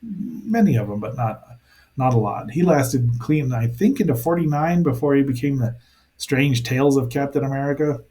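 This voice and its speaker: Man giving halting, playful cartoon commentary. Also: medium pitch, animated, dark tone. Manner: halting, thinking aloud, playful asides. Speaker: host of a cartoon review show. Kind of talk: casual rambling commentary on a cartoon.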